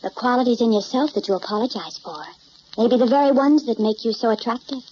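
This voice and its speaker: An actress playing a poised, refined woman with measured, direct speech in a radio drama. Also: on the high side, darkish tone, close to an everyday voice. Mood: tender, composed. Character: poised, refined, self-possessed woman in love story. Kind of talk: old-time radio drama acting. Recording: dull, hissy old radio recording.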